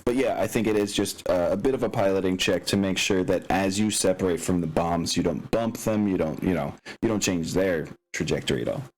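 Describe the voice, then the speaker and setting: A man's voice: low voice, brisk, hardly any pauses, darkish tone, low-quality recording. A man acting as game master, talking casually through rules and narration as he thinks aloud in a rambling way.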